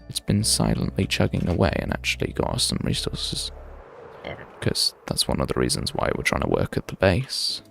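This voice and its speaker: Low gravelly man, rambling chat. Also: a bit bright. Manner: rambling, thinks aloud, chatty tangents. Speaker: game streamer. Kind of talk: casual livestream chat while playing.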